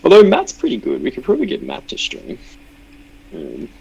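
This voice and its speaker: A man's voice: on the high side, huge pitch swings, brisk, gravelly, darkish tone, slightly rough, highs cut off. A man hosting a live game stream over a voice call, reading chat questions in a chatty, rambling way. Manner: chatty, rambling, laughs easily. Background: game music.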